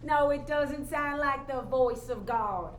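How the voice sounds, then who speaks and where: A woman's voice: sits high, slow pace. An actress playing a jaded, skeptical working woman with a blunt, folksy, challenging manner in a staged play reading. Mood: guarded. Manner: folksy, challenging, pointed rhetorical questions. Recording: echoey room, faint background noise.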